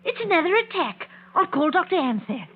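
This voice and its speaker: A woman playing an excitable, alarmed household maid in 1940s radio drama, flustered and dramatic. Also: very high-pitched, brisk. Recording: tinny, distant vintage radio recording.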